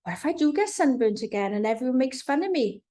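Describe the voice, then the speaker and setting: A woman's voice: high-pitched, very animated, fast talker, warm tone, voice pushed up. A woman voicing an anxious, polite young schoolgirl in a children's storybook, worried and hesitant. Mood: anxious, pleading.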